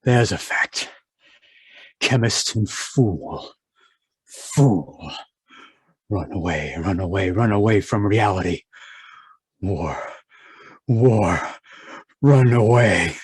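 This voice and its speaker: A man's voice: fairly low pitch, lively intonation, drawn-out words, pauses often, breathy edge, dry recording, heavily acted. An actor playing a guilt-ridden, domineering male chemist in an old-time radio horror play, swinging between haunted muttering and clipped, imperious speech. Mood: haunted, brooding.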